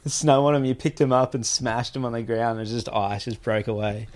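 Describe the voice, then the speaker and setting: A man's voice: mid-range voice, flat delivery, fairly quick. An Australian man in casual chat, laid-back and laughing often. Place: tiny home in the woods.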